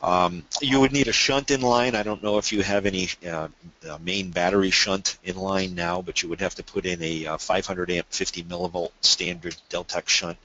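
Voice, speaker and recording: A man's voice: sits low, steady tone, normal speed, bright, forceful tone, low-quality recording. A man presenting a technical webinar, explaining things casually and asking questions.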